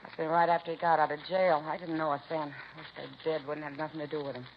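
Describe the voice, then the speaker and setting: An actress playing a bitter, blunt working-class wife who complains in slangy speech, in 1950s radio drama style. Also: female voice, low voice, flat delivery, fast talker. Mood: bitter, fed up. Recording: muffled, noisy 1950s radio recording.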